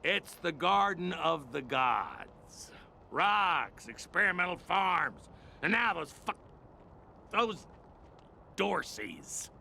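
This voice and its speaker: An actor voicing a gruff, cynical frontier marshal in a video game, with a gravelly, folksy drawl. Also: male voice, a little high, big pitch swings, slow pace, sharp tone, a little hiss or hum, exaggerated voice. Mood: gruff disdain.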